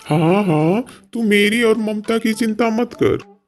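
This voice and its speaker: An actor playing a gentle, protective older village brother in a cartoon moral story. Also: medium pitch, slow pace, natural voice. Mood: soothing, farewell. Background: background music.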